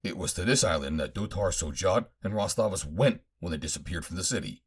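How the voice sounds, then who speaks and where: A man voicing an earnest, resolute male adventure hero in an audiobook, firm, deliberate and declamatory. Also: low voice, lively intonation, slightly rough. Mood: confident.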